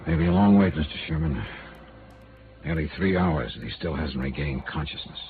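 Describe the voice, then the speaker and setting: An American actor playing a world-weary, blunt private investigator in a terse, dramatic 1950s radio noir style. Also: male voice, very deep voice, expressive, normal speed. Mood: weary, puzzled. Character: world-weary hard-boiled male insurance investigator, brooding and blunt. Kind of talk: 1950s radio noir acting, narrating and dialogue. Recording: muffled vintage radio recording with music.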